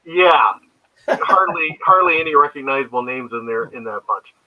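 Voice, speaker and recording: A man's voice: medium pitch, expressive, moderate pace, muffled recording, over a noisy crowd. An older man, a guest comics creator, telling rambling anecdotes in a casual interview.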